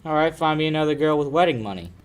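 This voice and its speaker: A man's voice: fairly high pitch, expressive, rapid-fire, close to an everyday voice. A young man plays a brash male lawyer in an old-time radio play. He is stubborn and talks in the manner of a 1940s drama, with a youthful voice. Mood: brash, insistent. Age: young adult. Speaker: high school actor.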